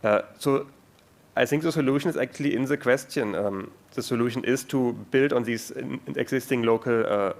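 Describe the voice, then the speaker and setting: A man speaking off the cuff as a conference panel moderator, pausing often mid-sentence to find his words. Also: medium pitch. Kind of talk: unscripted panel discussion. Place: live conference event.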